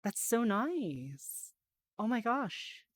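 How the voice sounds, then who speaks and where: A woman live-streaming in a VR headset, chatting casually and reading out phrases. She sounds tired and a little hoarse, like she is losing her voice. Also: on the high side, big pitch swings, no room sound, studio quality. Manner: chatty, reads aloud slowly, laughs easily. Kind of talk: casual live-stream chat while learning Japanese. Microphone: VR headset mic.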